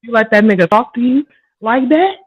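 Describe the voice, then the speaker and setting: A woman's voice: on the high side, fairly quick, slightly rough, no echo, lo-fi sound. A woman guest in a casual chat, talking in a tough, streetwise, emphatic way.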